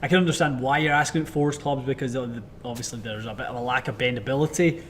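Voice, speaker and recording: A man hosting a live question-and-answer chat as a club fitter, with a soothing voice and a relaxed, thoughtful delivery full of fillers. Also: mid-range voice, fast, slight background noise. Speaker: club fitter and show host.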